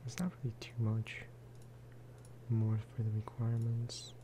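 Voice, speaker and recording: A man giving casual, rambling ASMR game commentary, speaking softly and often slipping into whispers. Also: mid-range voice, completely flat delivery, a little slow, background noise. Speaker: gaming video host.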